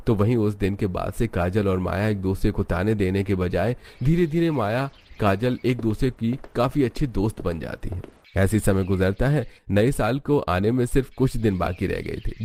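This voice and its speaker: A man's voice: on the low side, darkish tone. A man reading scripted narration for a cartoon story, as its narrator.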